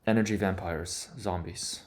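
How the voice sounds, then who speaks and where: Low-voiced man speaking earnestly, evenly. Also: fairly even intonation, a little mellow. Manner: earnest, confessional, speaking in short deliberate phrases. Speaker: vlogger. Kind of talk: personal reflective monologue.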